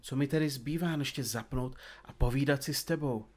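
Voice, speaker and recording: Guy acting chatty detective man in an audio drama, kinda pompous n flowery, teasing, normal mid pitch. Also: lightly acted. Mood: playful, mock-sulky. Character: chatty, theatrical, teasing male detective dictating to his recorder. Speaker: detective in a comedy mystery podcast.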